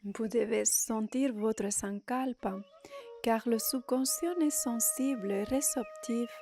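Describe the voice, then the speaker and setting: A woman guiding a meditation as a yoga nidra teacher, in short, unhurried phrases with long pauses, giving soothing instructions. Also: fairly high pitch, mellow.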